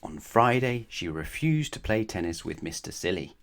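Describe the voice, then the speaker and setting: A man reading a children's storybook aloud in a measured, expressive way with emphatic phrasing. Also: deep voice, gravelly. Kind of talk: children's bedtime story narration.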